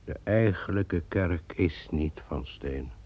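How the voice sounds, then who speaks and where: A man in a radio play voicing a solemn man who speaks in grave, measured sentences. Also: sits low, husky, heavily acted. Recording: dull old radio recording.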